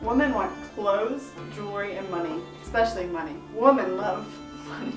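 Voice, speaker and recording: A woman's voice: mid-range voice, expressive, normal speed, close to an everyday voice. A woman playing a materialistic, money-loving townswoman in a puppet show. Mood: greedy. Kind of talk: scripted puppet show dialogue. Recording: echoey, distant, music underneath.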